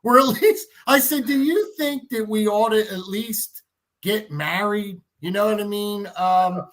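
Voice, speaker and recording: A man's voice: sits high, measured pace, fairly bright tone. A fifty-year-old man in a casual chat, talking effusively and often repeating his phrases. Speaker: guest on a livestream chat.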